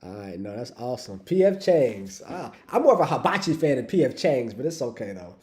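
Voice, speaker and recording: A man hosting a livestream with a cold, raspy and stuffy-nosed, talking casually and hyping up his chat. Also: medium pitch, big pitch swings, fairly quick, a little mellow. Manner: upbeat, hypes the chat, says "y'all". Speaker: livestream host.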